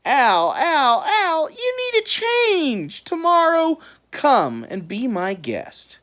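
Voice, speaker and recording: A woman's voice: high-pitched, wildly swooping pitch, slow, a bit bright, pitched above the performer's own voice, full character voice. A woman voicing a large, coaxing bird with breezy, persuasive salesman-like patter.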